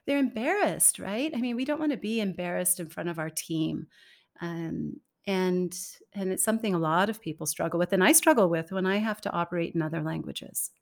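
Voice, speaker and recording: A woman in her thirties, speaking as an interview guest in a relaxed, animated way and laughing often. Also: fairly low pitch, a little fast, vocal fry. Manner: animated, laughs often, acts out quoted remarks. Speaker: guest, communication expert. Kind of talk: conversational interview.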